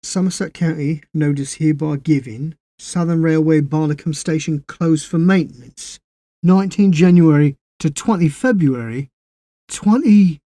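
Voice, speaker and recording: A man's voice: on the high side, normal speed, warm tone, dry recording, clean studio sound, close to an everyday voice. A man voicing a blunt, suspicious working-class British soldier in an audiobook story, slangy and questioning. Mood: curious.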